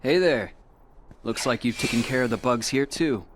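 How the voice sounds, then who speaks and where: A man's voice: mid-range voice, moderate pace, noisy background, lightly acted. An actor playing a reserved, brooding teenage boy hero in a video game, protective and self-blaming, in a cool, understated, serious manner. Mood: calm, friendly.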